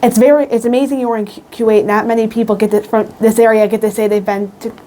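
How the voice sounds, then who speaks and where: Mellow young woman chatting casually. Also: a little high, flat delivery, quick pace, slight background noise. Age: 20s. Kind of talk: casual chat.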